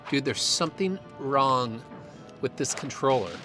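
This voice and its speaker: Blunt, animated young guy played by a man. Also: medium pitch, a little slow, close to an everyday voice. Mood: irritated. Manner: casual, blunt, swearing. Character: grumbling but committed young man among haunted housemates. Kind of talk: naturalistic audio drama dialogue. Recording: music under the dialogue. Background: music.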